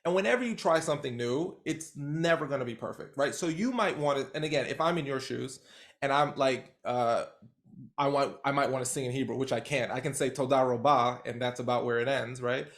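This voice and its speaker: A man leading a training call as coach, talking in an animated, rambling way with lots of fillers over a video call. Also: medium pitch, fairly quick.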